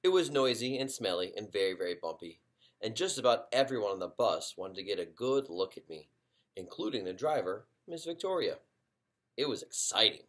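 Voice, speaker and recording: A man, a teacher, reading a children's chapter book aloud in an expressive storytelling way. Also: on the low side, a little fast.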